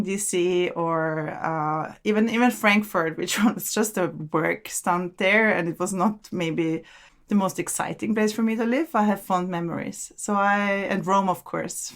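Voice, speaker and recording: A woman in her forties from Austria, a podcast guest telling her story in a relaxed, thoughtful way, often repeating words as she searches for them. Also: lowish voice, gravelly. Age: 40s. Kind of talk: casual interview conversation.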